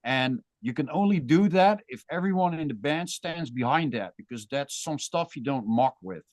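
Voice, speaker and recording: A man's voice: medium pitch, expressive, normal speed, darkish tone, dry recording. A man with a Dutch accent, a guest musician talking casually and thoughtfully in an interview. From Netherlands. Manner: thoughtful, rambling with restarts.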